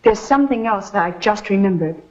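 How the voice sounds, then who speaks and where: A woman's voice: medium pitch, animated, brisk, a little mellow, lightly acted. An actress playing an earnest, kindly young blind woman of the Old West in a dated western drama. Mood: firm, insistent. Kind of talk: old-time western television drama acting. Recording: muffled vintage soundtrack with music.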